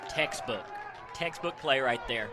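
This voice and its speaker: A man's voice: mid-range voice, lively intonation, a little slow, over a noisy crowd, very noisy. An American man giving informal, folksy color commentary on a live radio baseball broadcast. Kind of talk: conversational live sports commentary.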